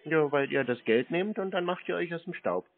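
A man talking curtly and teasingly on a phone call, deadpan and confrontational. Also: a little high, brisk. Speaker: scam-baiting call recipient, channel host. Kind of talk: phone conversation, curt and teasing. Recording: narrow-band phone call audio.

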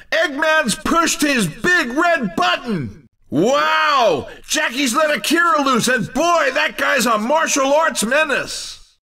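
An actor playing a playful, over-excited racing commentator in a video game, with a hammy, exclamatory showman delivery. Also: extremely high pitch, recorded from a distance, heavily acted. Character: over-excited male cartoon racing announcer, playful and punning.

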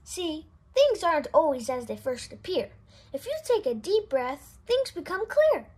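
A woman reading a children's picture book aloud in an expressive, sing-song way. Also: very high voice, unhurried. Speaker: storybook reader. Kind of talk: children's read-aloud narration.

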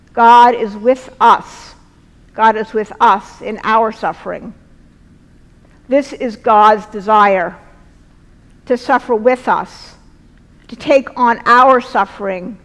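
A middle-aged woman preaching a sermon from a lectern in a large church, speaking earnestly in slow, deliberate phrases. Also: fairly high pitch, a bit bright. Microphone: lectern mic, far camera. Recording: long church reverb.

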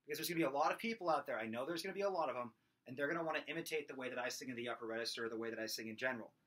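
A man's voice: very fast, a bit bright. A man in his thirties with a small, nasal, somewhat whiny voice, giving a casual singing lesson to a webcam in a room at home, talking with his hands. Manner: talks with his hands, demonstrates belted high screams. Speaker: vocal coach. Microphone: webcam at desk distance.

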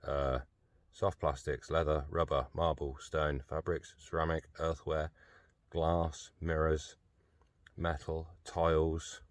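A British man in his forties giving a low-key, casual running commentary as he does a repair, half muttering to himself. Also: level tone, takes it slowly, no room sound, studio quality. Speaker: vlogger demonstrating a repair. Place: covered outdoor patio by a hot tub. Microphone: handheld phone camera mic. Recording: faint ambient noise, handheld phone recording.

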